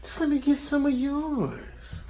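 A man voicing a whiny, wheedling pet that pleads softly in a comic animal voice-over. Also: very high-pitched, a little slow, very noisy.

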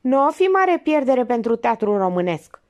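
A woman voicing a sharp, sarcastic older female amateur detective who needles a suspect with pointed, mocking remarks. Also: a little high, very animated, highs cut off, voice pushed up.

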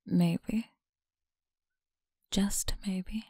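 A woman voicing a mean, teasing tsundere college bully in an intimate ASMR roleplay, creaky and often dropping into breathy whispers. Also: mid-range voice, steady tone, very slow, warm tone, no echo, clean studio sound, full character voice. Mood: smug, softer.

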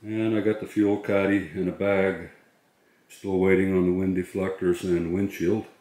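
A man's voice: low voice, level tone, moderate pace, mellow, roomy sound. A middle-aged man chatting casually to viewers as a vlog host, in an informal, hesitant way. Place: inside a moving truck and a garage.

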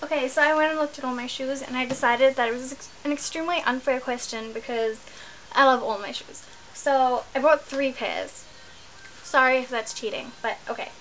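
A young woman from New Zealand rambles cheerfully to her laptop webcam, correcting herself as she goes. Her voice sits slightly high. A faint hiss runs under the small bedroom recording. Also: normal speed, a bit bright, low-quality recording. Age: young adult. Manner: chatty, rambling, self-correcting. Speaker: vlogger. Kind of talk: casual chat to camera.

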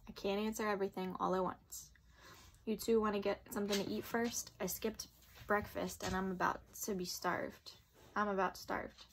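A woman reading the lines of a rough, teasing, streetwise teenage male hoodlum from a novel, husky and brusque. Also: medium pitch, flat delivery, moderate pace, slight background noise, close to an everyday voice. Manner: slangy, brusque.

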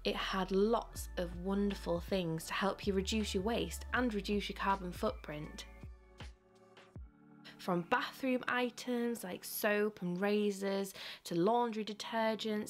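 A woman's voice: mid-range voice, unhurried, faint music behind. A young woman from England, gushing and enthusiastic as she narrates a vlog and shows off her haul from home. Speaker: vlogger. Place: living room at home, sitting on floor.

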